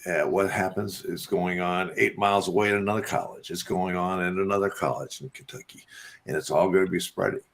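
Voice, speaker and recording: A man hosting a talk show, speaking earnestly about faith in a halting, repetitive way. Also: deep voice, steady tone, gravelly, fairly bright tone. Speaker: radio show host. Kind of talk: casual religious talk.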